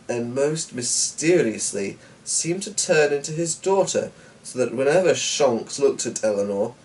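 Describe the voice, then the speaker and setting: A man reading a story aloud and rambling to himself, with hesitant, drawn-out musing and muttered asides. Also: medium pitch, a little mellow. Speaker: host and story reader. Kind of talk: rambling solo chat and dramatic story narration. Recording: distant mic with faint background noise.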